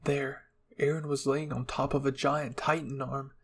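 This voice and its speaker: Gravelly male story reader. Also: medium pitch, flat delivery, moderate pace, a bit bright. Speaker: fan fiction reader. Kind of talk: first-person dramatic story narration.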